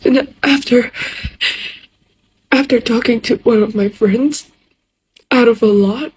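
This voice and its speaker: A young woman with a Persian accent speaks in a very breathy, husky voice pitched somewhat high. She gives a halting, distressed personal testimony to camera, in short simple sentences. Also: a little mellow. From Iran. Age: young adult. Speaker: social media video maker.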